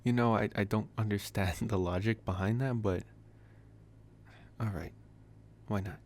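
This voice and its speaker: A man acts out a young male vampire boyfriend in an intimate ASMR roleplay, his voice low and very slow. He is soft, affectionate and hesitant, pausing between short phrases. A clear noise runs behind him. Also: fairly even intonation. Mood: tender, coaxing.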